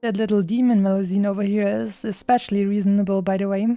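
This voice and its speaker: A woman's voice: mid-range voice, little pitch movement, quick pace, warm tone, no echo, faint music behind. A woman game streamer chatting casually, rambling with playful asides. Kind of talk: casual livestream chat.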